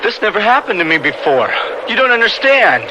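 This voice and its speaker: High-pitched rattled young man. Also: sing-song, brisk, background noise. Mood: self-pitying. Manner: stammering, agitated. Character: cocky young male balloon instructor, childish, rattled and self-pitying. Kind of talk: radio drama acting.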